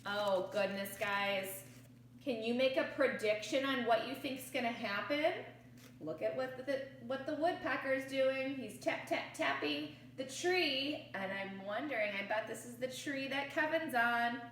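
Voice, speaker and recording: A woman reading a picture book aloud to children like a teacher, expressively, pausing to pose questions to her young listeners. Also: high voice, a bit bright. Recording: roomy, distant mic with faint noise.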